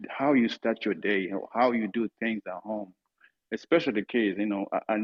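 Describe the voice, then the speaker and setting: A man chats in a relaxed, rambling way, clearing his throat between thoughts. His voice is low and husky. The audio comes through muffled, like an online call. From Tanzania. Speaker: guest speaker. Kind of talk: casual chat.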